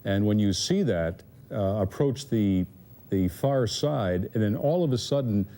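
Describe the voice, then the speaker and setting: Low, mellow male co-host. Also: lively intonation, unhurried. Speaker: news co-host. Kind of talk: conversational live news commentary.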